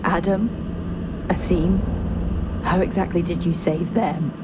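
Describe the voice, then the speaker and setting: Game heroine: wary and determined, lowish, very slow, murmured, natural delivery, noisy background. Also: female voice, fairly even intonation. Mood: accusing, tense. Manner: measured, low-key, often murmuring. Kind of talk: naturalistic video game acting.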